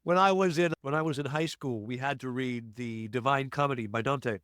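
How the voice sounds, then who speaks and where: An American man hosting a podcast interview over a remote call, chatty and quick with jokey asides. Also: mid-range voice, a bit bright, smooth, dry recording, studio quality. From United States. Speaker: podcast host. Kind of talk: casual interview chat.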